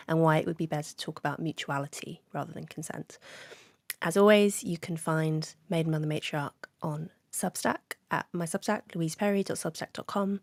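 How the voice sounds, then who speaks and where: Podcast host, female. Low register, very dry sound, introductions and questions. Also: a little mellow, dry recording.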